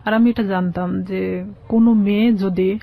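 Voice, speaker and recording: A Bangladeshi woman giving personal testimony as an interview guest, in a halting, plain-spoken way. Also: medium pitch, fairly even intonation, gravelly. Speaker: guest telling her life story. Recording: dull, muffled lo-fi sound.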